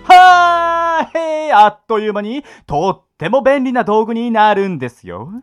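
A man's voice: very high-pitched, sing-song, measured pace, soft background music, exaggerated voice. A man acting a playful, mock-polite sadistic torturer in an amateur radio drama. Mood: gleeful, mocking. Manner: sing-song mock politeness with giggling.

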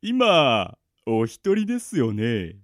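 A man playing a creepy, leering obsessive fan in an amateur radio drama, with a slow, unctuous delivery. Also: medium pitch, huge pitch swings, a bit bright, soft background music, exaggerated voice. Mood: creepy, gloating.

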